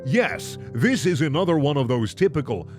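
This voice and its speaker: A man's voice: a little high, sing-song, moderate pace, over music. A man hosting a comedic reading, switching between mock-dramatic narration and casual, sarcastic commentary. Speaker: host reading and reacting to fan fiction.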